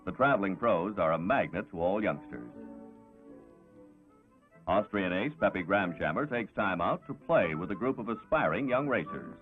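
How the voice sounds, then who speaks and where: A man's voice: low voice, lively intonation, moderate pace. A man reading scripted sports narration in a 1960s newsreel style. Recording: tinny 1960s film soundtrack with music.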